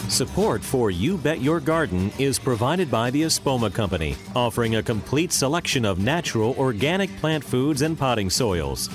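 A man reading a scripted sponsor credit as a radio announcer. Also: medium pitch. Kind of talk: scripted radio underwriting read.

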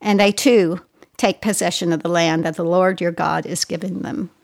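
A woman reading scripture aloud in a solemn, measured, deliberate way. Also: on the low side, steady tone, vocal fry, no echo, studio quality. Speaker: scripture reader.

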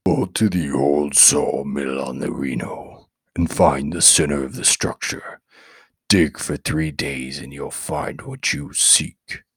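A man voicing a menacing demon speaking through a possessed boy, slow and deliberate. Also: rumbling low, slightly rough, dry recording, full character voice.

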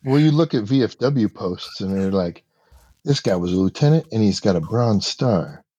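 A man's voice: on the low side, expressive, normal speed, dark tone, slightly rough, dry recording. A man co-hosting a casual news chat, cracking dry jokes. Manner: dry wisecracks. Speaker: co-host.

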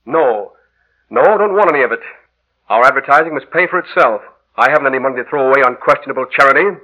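Callous, boastful money-man, mid-pitched, hard bright edge, curt delivery, dull vintage radio sound. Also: quick pace. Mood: irritated, curt. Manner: curt, self-satisfied. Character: greedy, callous, boastful businessman. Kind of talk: 1940s radio acting. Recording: dull old radio recording.

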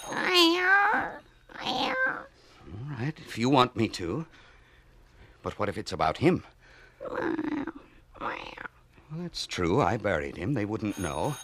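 An actor playing a distraught, obsessed husband in an old-time radio drama, pleading and agitated, swinging between murmurs and outcries. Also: male voice, deep voice, gravelly, fairly bright tone, heavily acted. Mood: nervous, hushed. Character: distraught, obsessed husband devoted to his transformed wife. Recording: noisy vintage radio drama recording.

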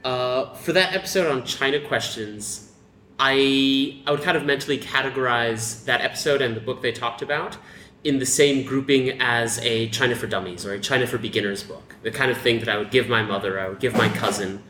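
An American man shares his opinion in a casual group discussion. He is measured and articulate, with a mid-pitched voice. The recording is roomy, with faint noise behind him. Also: bright, forceful tone. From United States. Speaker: discussion participant.

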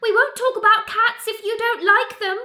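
A woman's voice: extremely high pitch, steady tone, normal speed, pushed and bright. A woman voicing a polite, curious young girl who muses in a prim, well-mannered way. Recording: echoey reverberant room.

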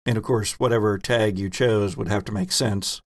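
A man's voice: fairly low pitch, steady tone, normal speed, no room sound. A man hosting a tabletop game as game master, explaining rules and narrating scenes conversationally with joking asides.

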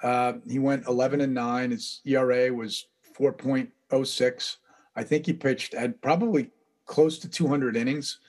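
A man as a podcast guest, telling long stories in a relaxed, rambling way with frequent laughs. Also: medium pitch, normal speed. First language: English. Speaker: guest, baseball interpreter. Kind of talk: casual storytelling interview.

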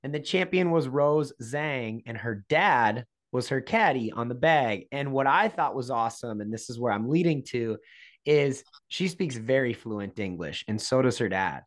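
A man's voice: mid-range voice, lively intonation, moderate pace. Chatty male podcast host. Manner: chatty, rambling lead-ins to questions. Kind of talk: casual interview questions.

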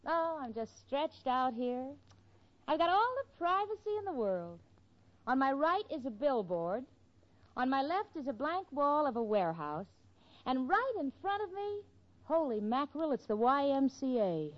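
A level-headed young city roommate with a wry, breezy wit in a 1940s radio sitcom. Her pitch is high and swings widely. The recording is dull and old. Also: very animated, moderate pace.

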